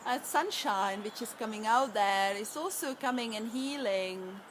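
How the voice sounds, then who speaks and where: A woman's voice: fairly high pitch, unhurried, slight background noise. Soothing woman guiding tapping. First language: Czech. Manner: soft, soothing and encouraging. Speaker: EFT tapping practitioner. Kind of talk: guided tapping session and personal story.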